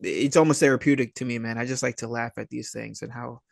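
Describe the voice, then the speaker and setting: A man giving casual interview answers as a guest, rambling and halting in an easygoing, dude-like way. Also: medium pitch, fairly even intonation, vocal fry, no echo, clean studio sound. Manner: rambling, halting, laid-back dude talk. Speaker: interview guest, indie filmmaker and writer.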